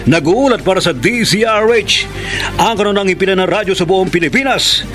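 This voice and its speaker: A man's voice: sits high, animated, brisk, fairly bright tone, faint music behind. A man hosting and narrating a radio crime drama in a solemn announcer's manner. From Philippines.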